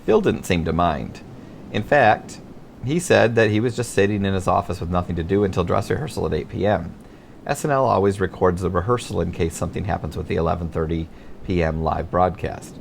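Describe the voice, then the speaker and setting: A man's voice: low-pitched, brisk, vocal fry, slight background noise. A middle-aged American man from Michigan reading his own memoir as scripted audiobook narration. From Michigan, United States.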